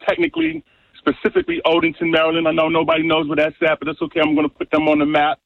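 A man with a New York accent calling in to a sports radio show and boasting loudly about his team. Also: on the high side, very fast, creaky, dry recording. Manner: boastful, emphatic trash talk. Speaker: radio caller. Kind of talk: call-in sports rant. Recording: tinny dry phone line.

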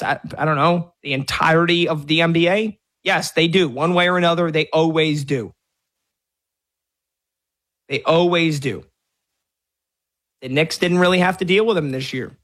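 A man's voice: fairly high pitch, fairly bright tone. A man hosting a sports talk radio show, arguing his point through a stream of rhetorical questions. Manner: argumentative, fires off rhetorical questions.

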